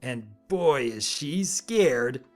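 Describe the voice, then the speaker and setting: A man's voice: medium pitch, extremely slow, faint music behind. Storybook henchpony read by a man: mischievous flier, breezy and casual, enjoys being mean. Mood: gleeful, flippant. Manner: flippant, casual, lively. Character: cocky, mischievous pegasus henchpony, gleefully mean.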